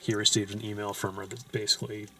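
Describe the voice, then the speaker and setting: A man with a somewhat low voice talks through a film in a relaxed, meandering way. He fills his sentences with ums and likes and circles back on himself. It sounds like a chat from the front seat of a parked car. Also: fast talker, a little hiss or hum. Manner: loose and rambling, with frequent fillers and restarts. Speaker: movie discussion host. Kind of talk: casual film discussion.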